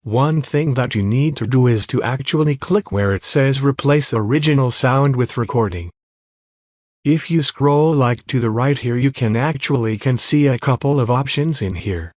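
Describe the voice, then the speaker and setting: Guy doing a how-to app voiceover, plain instructions, mid pitch, dry close mic. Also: normal speed, a little mellow, dry recording. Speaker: tutorial presenter. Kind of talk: step-by-step software tutorial narration.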